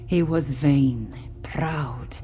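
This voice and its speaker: A woman playing a solemn mystical priestess in a fantasy video game, speaking in a measured, grave, formal way. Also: a bass voice, mellow, faint music behind, exaggerated voice. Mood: brooding.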